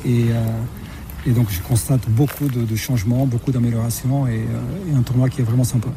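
A middle-aged man from Portugal speaks in a warm, dark voice, hesitating often and restarting his sentences. A faint hiss of noise sits behind him. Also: medium pitch, fairly even intonation, fairly quick. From Mozambique, raised in Portugal. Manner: hesitant, many fillers and restarts. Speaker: tennis umpire, interview guest. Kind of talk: radio interview.

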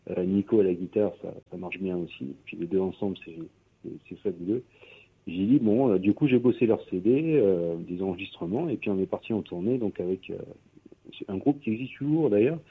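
A man with a lowish, husky voice talks through a tinny, phone-like radio line. He speaks as a guest musician in a casual interview. He hesitates a lot and restarts his sentences. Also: a little fast. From Pyrénées-Orientales, France. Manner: hesitant, many fillers and restarts.

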